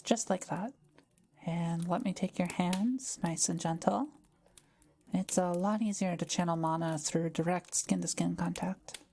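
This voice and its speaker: Woman as a gentle witch, mellow voice, careful explainer, reassuring, intimate audio roleplay, some background hiss. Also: on the low side, close to an everyday voice. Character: kind, quiet-living adult witch, patient and reassuring.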